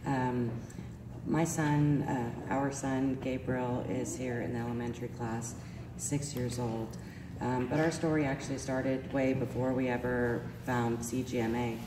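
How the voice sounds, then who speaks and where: A woman's voice: a bass voice, fairly even intonation, measured pace. A woman, stuffy from allergies and a little sore-voiced, giving an earnest parent testimonial with many ums. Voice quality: slightly sore voice. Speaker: parent speaking at a school event. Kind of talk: personal testimonial speech. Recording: echoey hall, speaker far from mic, noisy.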